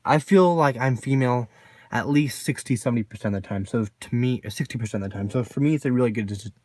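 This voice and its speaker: A deep-voiced man talking casually to the camera in a personal vlog, rambling and filling his talk with constant "you know"s and repeated phrases. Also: quick pace, a little mellow, no room sound. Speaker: vlogger.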